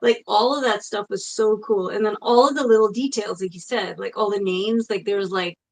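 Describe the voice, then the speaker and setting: Woman in her forties, talk show host, gushing and excitable, some vocal fry. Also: medium pitch. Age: 40s. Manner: bubbly, gushing, quick asides. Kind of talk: casual chat.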